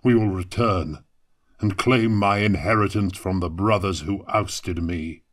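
Grim chaos warrior, pitched down deep, solemn slow speeches, dark fantasy audio story. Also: male voice. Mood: grim resolve. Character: grim ambitious Chaos warrior craving godhood and vengeance.

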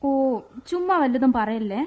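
Brief: a shy, coaxing young wife, high voice, muffled old radio sound. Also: female voice, expressive, a little slow. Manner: coaxing, coy, pleading. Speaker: radio drama actress. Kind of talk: radio drama acting. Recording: muffled radio broadcast recording.

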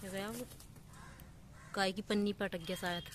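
Young woman vlogging on her phone in a yard, kinda monotone, lots of outdoor noise around. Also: medium pitch, moderate pace. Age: 20s. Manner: chatty, showing things to the camera. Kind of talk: casual village vlog. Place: outdoor village courtyard and rooftop. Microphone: phone held at arm's length. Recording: clear outdoor background noise.

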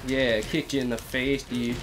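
A man's voice: medium pitch, little pitch movement, normal speed, over music. A young man with a cold-stuffed nasal voice, joking casually with a friend while playing a fighting game at home. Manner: playful, sings silly lines, yelps during fights. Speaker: gamer. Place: indoor room, on webcam.

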